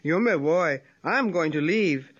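A hungry, hot-tempered male coyote, played by a woman storyteller, grumbles his threats in a gruff voice. He is easily fooled and quick to anger, a storybook beast. The sound is dull and lo-fi. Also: fairly low pitch, lively intonation, voice pushed down. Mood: grumbling. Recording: dull lo-fi recording.

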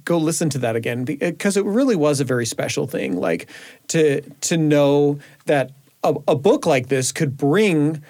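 A man with a slightly high voice, recorded close and dry. He chats informally as a show contributor, a bit halting and often seeking agreement. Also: animated, darkish tone, no echo. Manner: halting, informal, seeks agreement with "you know". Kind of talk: casual studio chat.

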